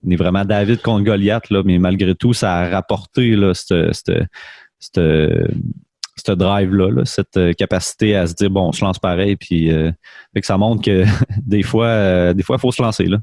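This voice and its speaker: Casting: Québécois male host, low voice, loose conversational delivery, dry close sound. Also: fairly even intonation, normal speed, a little mellow, no echo. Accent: Québécois French. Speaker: podcast host. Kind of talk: casual interview chat.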